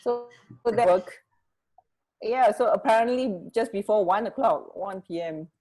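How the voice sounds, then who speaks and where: A woman co-hosting a radio show in casual chat, talking in a chatty, halting, rambling way. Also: medium pitch, dark tone, poor audio.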